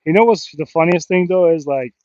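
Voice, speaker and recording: American male live streamer, slightly high voice, rambling and self-mocking, dry but muffled lo-fi outdoor recording. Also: a little fast, darkish tone, dry recording. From New Jersey, United States. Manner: rambling, self-deprecating, joking. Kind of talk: casual live stream chat. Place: outdoors in a river in a park.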